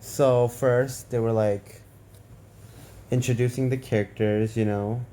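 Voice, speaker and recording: A man's voice: mid-range voice, steady tone, slow pace, warm tone, slight background noise. A man hosting a game story read-along in casual, informal chat.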